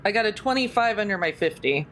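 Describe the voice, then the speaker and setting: A woman's voice: mid-range voice, lively intonation, fast talker, background noise. A woman playing a tabletop role-playing game, chatting casually about rolls and her character's actions.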